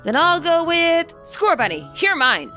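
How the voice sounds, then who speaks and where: A woman voicing an eager, cocky teenage boy trainer in a game story, excitable and boastful. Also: very high-pitched, a little slow, faint music behind, pitched above the performer's own voice, exaggerated voice. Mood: eager, competitive.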